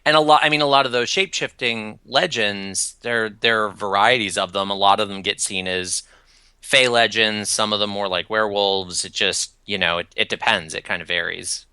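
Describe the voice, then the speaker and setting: A man's voice: lowish voice, steady tone, moderate pace, bright, forceful tone, clean tone, dry recording. A man chatting casually on a podcast, enthusiastic and filling his talk with "you know" and "I mean".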